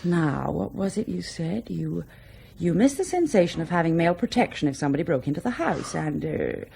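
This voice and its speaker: A brisk but caring female journalist in a radio play. Her voice is creaky and swings freely in pitch, over faint background noise. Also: big pitch swings, dark tone. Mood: fond, reflective. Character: brisk, caring woman journalist, old flame of a pilot. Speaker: radio drama actor. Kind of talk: naturalistic radio drama dialogue.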